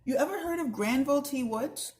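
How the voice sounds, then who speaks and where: A woman's voice: high voice, fairly quick, a little mellow, voice pushed up, lightly acted. A woman voicing a wise, teasing male mentor who instructs children in a storybook with leading questions. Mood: instructive.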